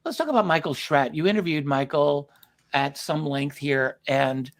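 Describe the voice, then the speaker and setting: A man with a medium-pitched voice hosts an interview in a conversational style. He phrases things thoughtfully and a little hesitantly, often pausing and doubling back on his words. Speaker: host interviewing a documentary filmmaker.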